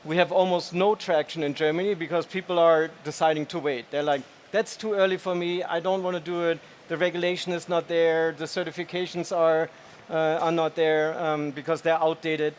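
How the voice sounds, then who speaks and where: A man's voice: a little high, little pitch movement, moderate pace, a little hiss or hum. A man speaking on an industry panel, confident and plain-spoken. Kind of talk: panel discussion.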